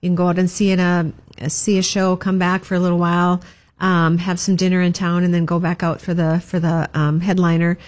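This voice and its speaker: Brief: lowish female chamber rep, upbeat, promo tone, lots of ums. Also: level tone, a little fast, a little mellow. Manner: upbeat, filler-heavy, promotional. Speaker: chamber of commerce guest. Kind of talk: community announcements in casual chat.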